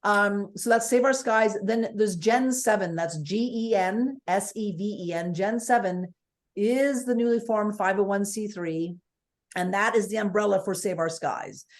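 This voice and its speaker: A woman with a booming voice, a guest speaking forthrightly and with energy in an interview over a video call. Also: a little slow. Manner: forthright, energetic, piles on points.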